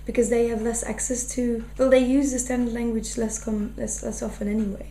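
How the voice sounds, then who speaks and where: Young flemish woman, warm voice, lots of ums and restarts, roomy echo with a low hum. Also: level tone, a little fast. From Flanders, Belgium. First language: Dutch. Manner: thoughtful, full of fillers and restarts. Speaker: interviewee. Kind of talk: casual interview. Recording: echoey room with faint hum.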